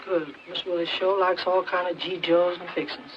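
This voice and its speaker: A woman's voice: lowish voice, steady tone, normal speed, close to an everyday voice. An actress playing an elderly grandmother with a Southern US accent, plain-spoken, caring and world-weary, in folksy dialect. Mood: pleased, surprised. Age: older. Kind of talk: 1940s film drama acting. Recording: muffled noisy 1940s film soundtrack, distant.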